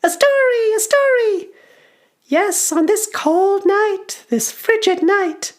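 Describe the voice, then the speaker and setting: An eager little goblin child from a storybook. The voice is tiny, squeaky and pitched very high. It comes out in giddy exclamations from a creature that is easily scared. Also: female voice, slow. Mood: gleeful. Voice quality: small, squeaky voice. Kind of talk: storybook character voice.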